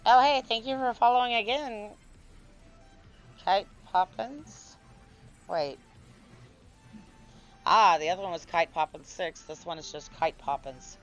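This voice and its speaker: A woman streamer with a hoarse voice, chatting casually with viewers and a co-player while gaming. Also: medium pitch, a little slow, pauses often, highs cut off, faint music behind. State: hoarse, recovering lost voice.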